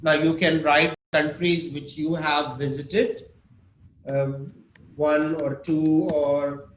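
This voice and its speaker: A man's voice: a little high, flat delivery, takes it slowly. A man teaching an online English lesson, explaining patiently with examples and questions for his students. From Pakistan. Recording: echoey room with faint noise.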